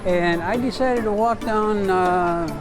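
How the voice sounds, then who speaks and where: Chatty high-pitched man vlogging over music. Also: takes it slowly. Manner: chatty, digressive, talking to viewers. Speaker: travel vlogger and host. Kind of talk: casual rambling vlog narration.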